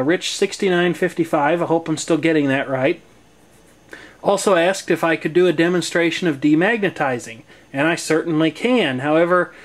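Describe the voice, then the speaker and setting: An American man giving a casual hands-on demonstration in a folksy, wry, explanatory way. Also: fairly high pitch. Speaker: presenter demonstrating tape deck maintenance. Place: indoor room at home. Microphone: handheld camcorder microphone.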